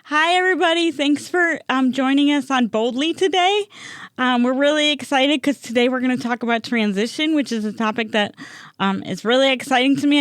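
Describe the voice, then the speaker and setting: A woman's voice: high voice, clear, resonant tone, no room sound, studio quality. A woman hosting a podcast interview, upbeat and chatty with frequent fillers. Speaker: podcast host.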